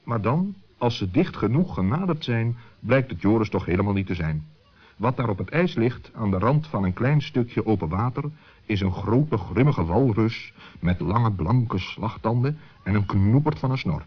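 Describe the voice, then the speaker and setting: Low, husky male storyteller. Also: animated, moderate pace. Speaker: narrator, storyteller. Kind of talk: scripted children's story narration. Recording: muffled old sixties recording.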